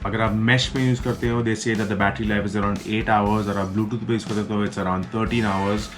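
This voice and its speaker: Low-voiced Indian man reviewing gear over music. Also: little pitch movement, measured pace. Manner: informal, explanatory, addresses viewers as guys. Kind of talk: casual product review, mixing Hindi and English.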